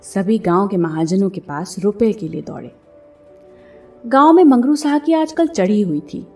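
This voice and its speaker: A woman narrates a story from a script in an expressive audiobook style. Her pitch is mid-range. She sounds a little away from the microphone, with music playing behind her. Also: moderate pace, far from the mic. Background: background music.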